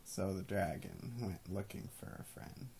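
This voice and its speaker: Gravelly, playful man chatting slowly. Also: sits low, warm tone, a little hiss or hum. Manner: playful, musing. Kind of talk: casual chat. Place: small bedroom, lying in bed.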